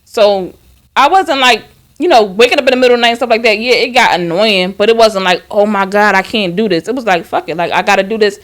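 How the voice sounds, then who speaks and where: A woman's voice: mid-range voice, fast, a bit bright. A woman aged thirty chatting casually as a podcast co-host, blunt and emphatic, swearing freely. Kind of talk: casual chat.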